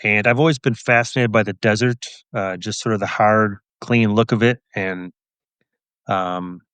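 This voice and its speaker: A man's voice: lowish voice, fairly even intonation, normal speed, a bit bright, clear, resonant tone, dry recording. A middle-aged man answering as an interview guest in a casual, wry, understated way. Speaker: guest, crime novelist and police officer.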